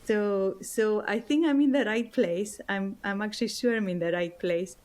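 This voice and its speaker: A woman's voice: mid-range voice, moderate pace, a little mellow. A woman from Greece with a Greek accent, an author answering interview questions in an earnest, heartfelt way and often repeating words as she searches for them. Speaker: guest author and spiritual teacher. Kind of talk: interview answers, personal story.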